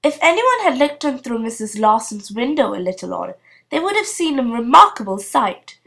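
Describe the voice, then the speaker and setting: A woman reading a children's story aloud as an expressive narrator. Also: fairly high pitch, moderate pace, gravelly. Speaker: storybook reader.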